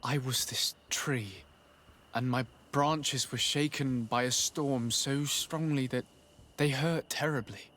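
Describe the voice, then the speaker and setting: An actor playing an earnest young nobleman emissary in a video game, speaking in a measured, formal way. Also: medium pitch, fairly even intonation, a bit bright. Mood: shaken, hushed. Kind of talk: video game cutscene dialogue.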